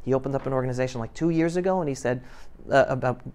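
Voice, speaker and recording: A middle-aged man giving an impassioned, animated motivational talk to young people, with emphatic delivery. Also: mid-range voice, rapid-fire, smooth. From New York City, United States. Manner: emphatic, animated, swinging between loud and hushed. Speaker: guest speaker addressing high school students. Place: classroom, indoors. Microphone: lapel mic.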